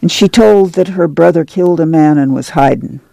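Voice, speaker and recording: A man's voice: fairly high pitch, normal speed, vocal fry, mellow, no echo, rough recording. A man voicing a worried older mother who is tender but firm, speaking in plain rural talk. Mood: uneasy. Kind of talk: audiobook character reading.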